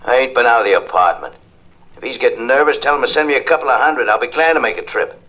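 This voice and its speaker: A man's voice: medium pitch, quick pace, lightly acted. Man acting a cocky, dull-sounding hired killer. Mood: tough, bargaining. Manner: tough, slangy, unbudging. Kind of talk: 1950s radio drama acting. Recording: dull vintage radio, phone conversation.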